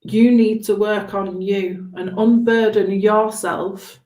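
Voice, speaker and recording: A woman giving a spontaneous live tarot reading in a halting, reassuring, conversational way, with a slightly hoarse voice. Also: medium pitch, fairly even intonation, darkish tone. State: hoarse after long talking. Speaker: tarot reader hosting a live stream. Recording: roomy, echoing room sound.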